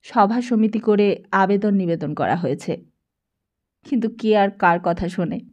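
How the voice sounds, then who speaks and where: A woman's voice: mid-range voice, a little mellow. Woman narrating an audiobook story.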